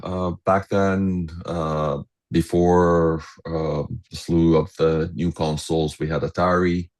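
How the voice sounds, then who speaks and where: A middle-aged man with an exceptionally deep voice. He talks casually as a podcast guest, halting often as he searches for words. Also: flat delivery, a little mellow. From Egypt. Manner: hesitant, halting delivery with many pauses. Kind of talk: casual reminiscing conversation.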